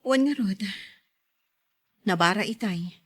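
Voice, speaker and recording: A woman's voice: medium pitch, slow pace, breathy edge, no echo. A woman with a Filipino accent playing a busy, career-minded young mother and wife in a radio drama. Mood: hurried.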